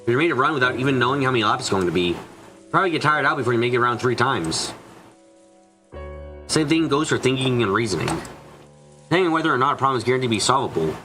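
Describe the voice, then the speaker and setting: A man's voice: mid-range voice, expressive, rapid-fire, long pauses. A man doing a dramatic reading of visual novel dialogue as a streamer, now and then stumbling over words. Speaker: let's play streamer. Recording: music behind the voice.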